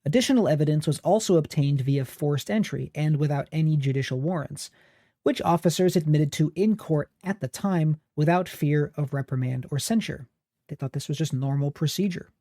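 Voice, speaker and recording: A man hosting a history podcast, reading scripted narration in a measured, analytical and critical way. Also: a little high, warm tone, no echo, clean studio sound. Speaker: podcast host.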